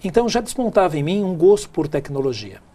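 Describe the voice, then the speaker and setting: Middle-aged man, São Paulo Brazilian accent, slightly high voice, conversational storyteller in an interview seat. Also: big pitch swings, fast, mellow. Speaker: interview guest, tech speaker and businessman.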